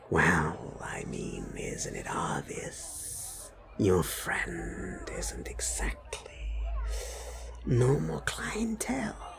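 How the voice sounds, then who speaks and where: A man voicing a hesitant, insinuating stranger at a bar in an audio drama, with a breathy, halting delivery. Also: deep voice, huge pitch swings, whispered, voice pushed down, exaggerated voice. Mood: quietly insinuating.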